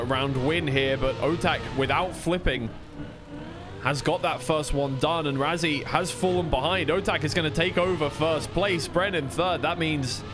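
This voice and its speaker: A man giving live esports racing commentary in a conversational way, often second-guessing himself. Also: a little high. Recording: clear background noise of vehicle sounds.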